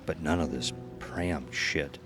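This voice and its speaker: A male narrator with an extremely deep, low voice reads a scripted horror tale. Music plays behind him. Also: slow pace.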